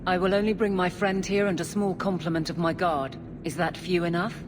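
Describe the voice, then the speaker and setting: A woman's voice: lowish voice, steady tone, brisk, noisy background, lightly acted. A woman voicing a stern, dutiful female guard captain in a video game, firm, formal and no-nonsense. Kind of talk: video game cutscene acting. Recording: music under the voice.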